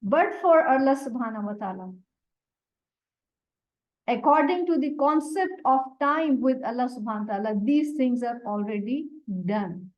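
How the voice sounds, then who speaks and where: A woman whose first language is Urdu, teaching a Quran lesson and explaining Arabic words one by one in a deliberate way. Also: a little high, animated. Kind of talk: slow explanatory religious lesson. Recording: dull lo-fi recording.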